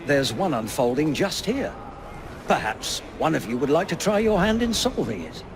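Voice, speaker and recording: An actor playing a charming, self-deprecating Victorian writer of lurid stories, wordy and theatrical, in a video game. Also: male voice, a little high, sing-song, moderate pace. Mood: wry, florid. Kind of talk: video game cutscene acting. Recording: noisy outdoor city ambience.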